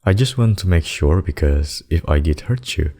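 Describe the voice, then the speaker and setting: A man voicing a possessive demon king and lover in a scripted ASMR roleplay, speaking deliberately and intimately. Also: sits low, animated, dark tone. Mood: tender, concerned. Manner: slow, deliberate, intimate addresses with pet names. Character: possessive demon king, menacing abductor turned tender secret lover.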